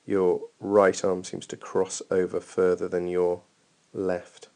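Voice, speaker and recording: A man's voice: low-pitched, completely flat delivery, slow pace, a little mellow. A man giving informal running technique feedback over a training video as a coach, thinking aloud in a hesitant way.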